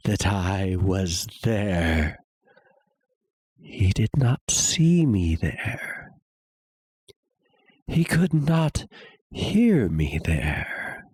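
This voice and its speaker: A man playing an obsessive, unhinged murderer in a dramatic gothic horror reading, halting and theatrical with suspenseful pauses. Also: deep voice, gravelly, breathy edge, no room sound, exaggerated voice. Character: obsessive, unhinged murderer confessing his crime.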